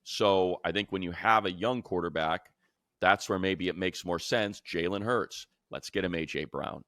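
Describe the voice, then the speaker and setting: Deep-voiced man talking sports. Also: normal speed, a bit bright, dry recording, clean studio sound. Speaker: sports show co-host and commentator. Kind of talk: unscripted sports talk and analysis.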